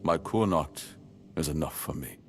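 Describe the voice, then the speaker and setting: A deep-voiced solemn noble lord. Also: male voice, soft background music. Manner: measured, stately, earnest. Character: solemn noble lord, middle-aged man, duty-bound. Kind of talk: voiced game dialogue.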